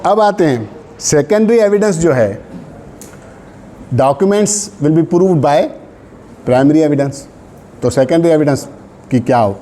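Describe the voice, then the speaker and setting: A man's voice: on the high side, lively intonation, takes it slowly, pauses often, dark tone. A man with a Pakistani accent giving a law lecture, reading out provisions and explaining them didactically, with short checks for understanding. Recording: faint background noise.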